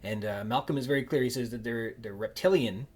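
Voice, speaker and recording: A man hosting a conversational podcast interview, speaking in a halting, thoughtful, wordy way. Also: medium pitch, darkish tone, slightly rough. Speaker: podcast host.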